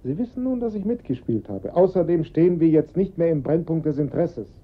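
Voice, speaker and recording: A husky-voiced, strained father in a radio crime play. He is insistent and keeps justifying himself. The recording is muffled and lo-fi. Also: on the high side, expressive, a little fast. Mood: tense, determined. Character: strained father of a kidnapped boy, engineering draughtsman. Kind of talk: German radio crime drama acting.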